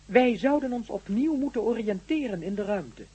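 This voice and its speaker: An actress playing a solemn alien being in a radio play, with a husky, veiled voice. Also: female voice, on the high side, lively intonation, normal speed, warm tone, dry recording. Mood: questioning, solemn. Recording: dull, band-limited radio sound.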